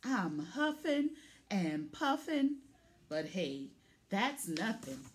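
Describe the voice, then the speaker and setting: A woman's voice: mid-range voice, big pitch swings, very slow, slight background noise. A woman voicing a boastful, plucky little pickup truck from a children's picture book, punchy and full of vocal sound effects. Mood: straining, proud.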